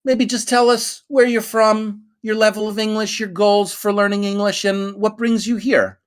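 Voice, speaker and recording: A man's voice: high-pitched, little pitch movement, normal speed, clear, resonant tone. Rambling New York teacher man. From United States. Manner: friendly, rambling with many fillers and restarts. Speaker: English teacher. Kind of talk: hosting an online conversation class. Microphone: online voice chat.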